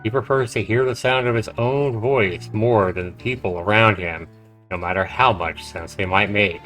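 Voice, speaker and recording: A man's voice: on the low side, moderate pace, fairly bright tone, rough recording. A man livestreaming a game, reading its narration aloud dramatically with casual, profane asides. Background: faint game music.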